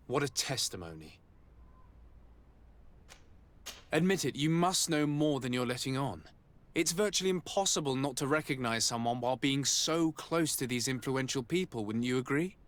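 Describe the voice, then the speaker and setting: An actor playing a composed, courteous but pressing investigator in a video game. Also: male voice, medium pitch, expressive, normal speed, fairly bright tone, close to an everyday voice.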